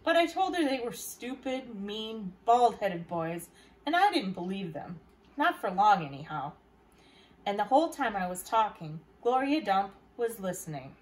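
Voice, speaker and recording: A woman's voice: medium pitch, big pitch swings, unhurried, poor audio. A woman reading aloud as a library storyteller, narrating a children's novel. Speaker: library staff reader.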